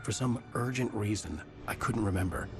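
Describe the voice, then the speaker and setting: A man's voice: fairly low pitch, moderate pace, natural voice. An actor with an American accent playing a weary, wry male writer in a video game, brooding and dry. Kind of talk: scripted narration and game dialogue. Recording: music behind the voice.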